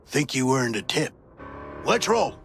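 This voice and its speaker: Slow, gravelly, sly gang dealer woman. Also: rumbling low, very animated, faint music behind, heavily acted. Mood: mocking, shady. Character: sly, menacing gang dealer buying smuggled cargo. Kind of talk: video game cutscene acting.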